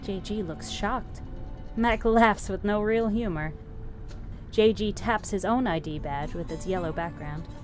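A woman's voice: mid-range voice, measured pace. A woman doing scripted narration, reading stage directions as a narrator. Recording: music under the narration.

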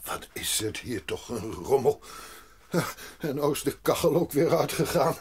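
A man's voice: medium pitch, lively intonation, lightly acted. An actor playing a frail, lonely old mountain grandfather in an audio drama, speaking with emotion and sighs. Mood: gloomy, weary. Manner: slow, emotional, sighing. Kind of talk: Dutch audio drama acting. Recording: music under the voice. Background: music.

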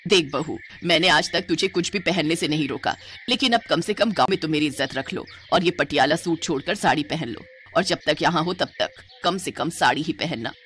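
Casting: older Indian mother-in-law for cartoon family story, low voice, long-suffering and proper female narrator doubles the role. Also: brisk, fairly bright tone, slight background noise. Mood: stern. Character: exasperated older Indian mother-in-law, scolding yet submissive to elders. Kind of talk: animated moral story dialogue.

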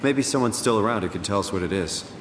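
A man's voice: quick pace, close to an everyday voice. An actor playing an earnest, inquisitive everyman hero in a sci-fi horror game, speaking informally. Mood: curious. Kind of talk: video game voice acting. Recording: echoey hall, faint rumbling noise.

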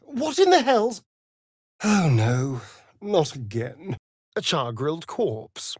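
A man's voice: on the high side, sing-song, takes it slowly, slightly rough, no room sound, clean studio sound, heavily acted. A man with an English accent playing a vain, sardonic vampire rogue in a video game, with an arch, mocking, drawling delivery. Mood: alarmed, disgusted. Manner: arch, drawling, mocking, swinging between purr and outburst. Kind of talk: video game character voice lines.

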